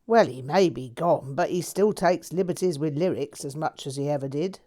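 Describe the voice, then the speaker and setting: A woman's voice: sits low, normal speed. A woman reading a novel aloud, voicing a gleeful, brash man. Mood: blunt. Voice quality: loud, brash, slangy.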